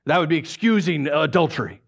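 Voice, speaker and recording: A man voicing a stern, furious older father, shouting his indignant words in a theatrical monologue. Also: on the high side, lively intonation, fairly quick, vocal fry, breathy edge, muffled recording, voice pushed up, exaggerated voice.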